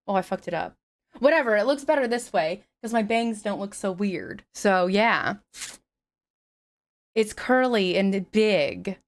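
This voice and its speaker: A young woman talks casually on stream through a headset mic. Her voice is still a little raspy from a recent flu. She chats loosely and curses freely, with a lively melody that leaps up for greetings. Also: medium pitch, measured pace. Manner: chatty, swears freely, animated greetings. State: slightly raspy after flu.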